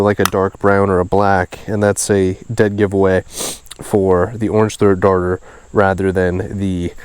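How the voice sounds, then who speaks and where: A man with a fairly low voice that is clearly congested and nasal. He narrates an outdoor vlog casually, with the easy enthusiasm of a hobbyist host, sounding sniffly as he talks. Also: a little mellow, no echo. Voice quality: nasal, stuffed-up. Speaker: host of a fishing video series. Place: outdoors beside a small creek.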